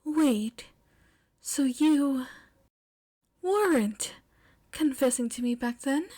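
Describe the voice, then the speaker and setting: A woman acts out a courteous, shy teenage girl in love for a story reading. The voice is raised and lilting. She speaks softly, with hesitant, gentle politeness. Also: high-pitched, animated, very slow, voice pushed up, full character voice. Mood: flustered, unsure. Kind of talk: audiobook-style fan fiction character reading.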